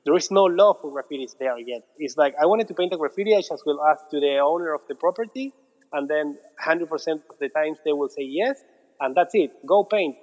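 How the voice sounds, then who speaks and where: A man in his thirties from Argentina with an Argentine Spanish accent, chatting casually as an interview guest, relaxed and repeating his words. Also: a little high, brisk. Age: 30s. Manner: relaxed, repeats words, non-native phrasing. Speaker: guest, muralist. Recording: dull audio with long reverb.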